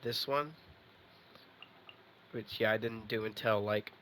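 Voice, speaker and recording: A man's voice: lowish voice, fairly even intonation, takes it slowly, fairly bright tone. A man giving casual, chatty gameplay commentary as a streamer. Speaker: game streamer. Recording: faint game noise behind.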